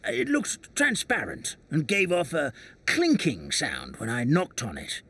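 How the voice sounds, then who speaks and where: A man's voice: fairly high pitch, huge pitch swings, measured pace, creaky, bright, forceful tone, slight background noise, full character voice. An actor playing a loyal, formal old servant who addresses his mistress with courtly deference. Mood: deferential. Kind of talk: video game cutscene dubbing. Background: game music.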